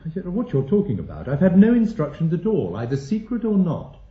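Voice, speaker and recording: Earnest young British academic, animated, echoing. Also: male voice, high-pitched, quick pace, phone-quality sound. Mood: guarded. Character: earnest young male mathematician, anxious and indignant. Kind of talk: radio drama acting. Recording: roomy, echoing radio drama recording.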